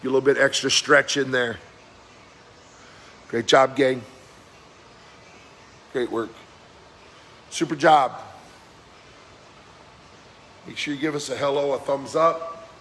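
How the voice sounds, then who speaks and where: A man leading a live-streamed workout as fitness coach, giving brisk, encouraging exercise cues in a slightly hoarse voice that keeps cracking. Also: mid-range voice, steady tone, a bit bright. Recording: echoey room with faint background noise.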